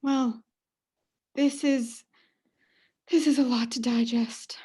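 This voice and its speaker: A woman's voice: on the high side, fairly even intonation, slow, mellow, breathy edge, exaggerated voice. A young woman acting in a radio play as a doting, haughty, oblivious mother with a gushing, melodramatic manner. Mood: stunned. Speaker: student actor in radio play.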